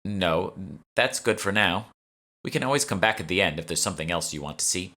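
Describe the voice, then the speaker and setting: A man's voice: low-pitched, animated, brisk, sharp tone. A man voicing a polite, earnest woman curator who explains things courteously in an audiobook. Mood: tactful. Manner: courteous, informative, eager to please. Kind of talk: audiobook character dialogue.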